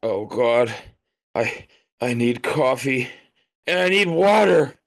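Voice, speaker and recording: A man's voice: medium pitch, expressive, takes it slowly. A man playing a woozy, drunk and anxious newspaperman in an audio play, stammering and muddled. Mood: queasy, anxious.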